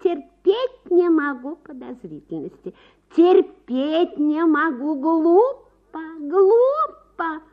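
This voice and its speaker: A woman voicing a coy, self-righteous society wife in a dramatic story reading, gushing and theatrical, with drawn-out words. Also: high-pitched, sing-song, narrow, tinny audio, pitched above the performer's own voice, heavily acted. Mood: indignant.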